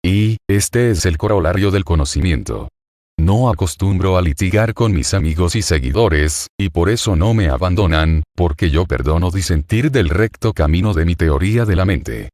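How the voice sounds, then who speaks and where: A man from Argentina with an Argentine accent, a psychoanalyst reading a scripted self-interview in a solemn, deliberate, self-assured way. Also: sits low, animated, darkish tone, no echo. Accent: Argentine Spanish.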